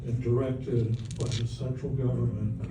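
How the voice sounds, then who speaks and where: A man's voice: medium pitch, level tone, measured pace. A man giving a firm, earnest public comment at a city council meeting, urging the council to reject a proposal. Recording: echoey chamber, far mic, noisy.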